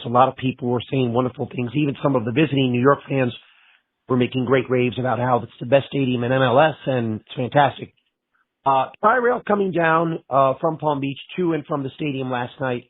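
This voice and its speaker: A man with a bad cold and a raspy voice, leaving a halting voicemail for a show. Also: mid-range voice, no room sound. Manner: halting, with many pauses. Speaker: caller. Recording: phone line.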